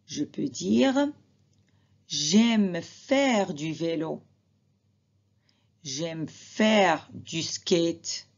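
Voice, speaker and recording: A woman teacher slowly explaining and repeating examples to her students, talking to them directly. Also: on the low side, animated, lo-fi sound. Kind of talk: slow classroom explanation.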